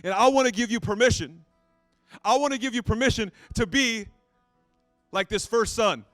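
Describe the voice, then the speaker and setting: A man's voice: very high-pitched, normal speed, a bit bright, no room sound, soft background music. A man in his late thirties preaching in a conversational, self-deprecating way, often repeating his phrases. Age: 30s. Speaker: pastor. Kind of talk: sermon.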